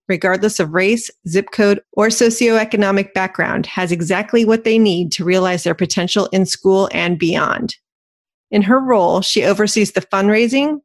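Woman co-host reading an intro. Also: medium pitch. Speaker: podcast co-host. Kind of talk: reading a scripted guest introduction.

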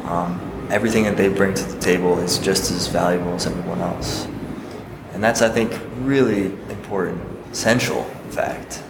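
A somewhat low-voiced man talks casually in a group discussion. He rambles and restarts often. The recording sounds like a big echoey hall, with clear room noise behind him. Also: steady tone, moderate pace. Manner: rambling, many fillers and restarts. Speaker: architect, program organizer.

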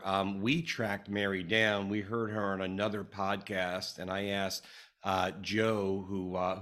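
A man with a slightly hoarse, fraying voice hosts a podcast. He opens the show in an eager, loose, friendly way, and his voice sits low. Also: fairly bright tone. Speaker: podcast host. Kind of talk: casual podcast opening.

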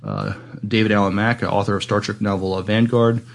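Halting, low-voiced male podcast co-host. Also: level tone. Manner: halting, hesitant delivery with many filled pauses. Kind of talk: casual co-hosting chat.

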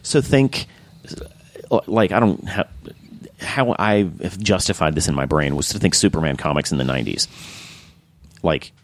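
A man hosting a casual podcast chat, enthusiastic and rambling, with frequent restarts and a slightly hoarse voice. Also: low voice, normal speed. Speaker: podcast host.

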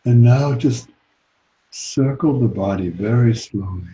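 A man leading a guided meditation as a teacher, speaking calmly and gently with long pauses. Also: medium pitch, highs cut off.

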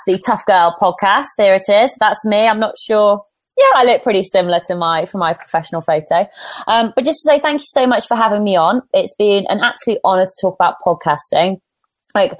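A woman hosts a live online chat with bright enthusiasm. Her voice sits in the middle range and sounds dry and close. She keeps things upbeat and promotional. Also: mid-range voice, no room sound. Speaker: host of an online podcasting conversation.

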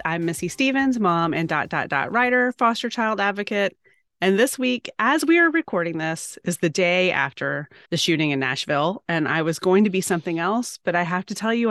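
A woman's voice: fairly low pitch, fairly bright tone, dry recording, studio quality. A woman co-hosting a podcast in a conversational style. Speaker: podcast co-host.

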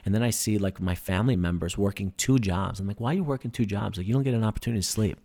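Low gravelly man talking eagerly. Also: racing, a little mellow. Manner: enthusiastic, explanatory, many fillers. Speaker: podcast guest, breathing workshop instructor. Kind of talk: casual podcast conversation.

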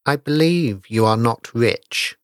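A man voicing a doomed, pale stranger in a ghost story, speaking low, hushed and hollow. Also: slow pace, smooth, no echo, studio quality, close to an everyday voice. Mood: grave, hushed.

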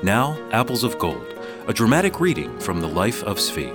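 A man announcing a scripted promo with a dramatic, measured delivery. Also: fairly low pitch, huge pitch swings. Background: guitar music.

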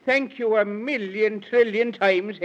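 A man's voice: high-pitched, takes it slowly, fairly bright tone. An actor voicing a whimsical, fretful lion king in a 1930s children's radio play, with a dithering, theatrical delivery. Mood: overjoyed. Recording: muffled vintage 1930s radio recording.

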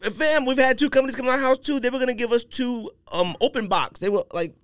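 A man's voice: extremely high pitch, fast talker, a bit bright, clear, resonant tone, dry recording, clean studio sound. A man chatting casually as a podcast host, with a slangy, bantering way of talking. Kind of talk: casual chat.

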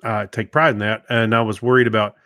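A man's voice: fairly low pitch, flat delivery, fairly quick, pushed and bright, no room sound, studio quality. Rambling middle-aged man co-hosting. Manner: rambling, many restarts and fillers. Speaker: podcast co-host. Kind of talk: casual chat.

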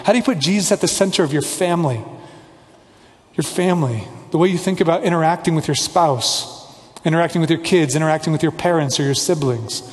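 A pastor delivering a sermon, earnest and conversational, now and then slipping in a playful aside. His voice sits a little high for a man, and a long reverb trails each phrase through the church hall. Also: a little fast.

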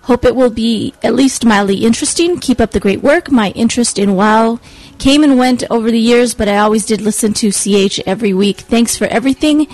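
A woman's voice: fairly high pitch, fairly quick, darkish tone, low-quality recording. A woman co-hosting a casual podcast, chatting and reading out listener emails. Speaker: podcast co-host.

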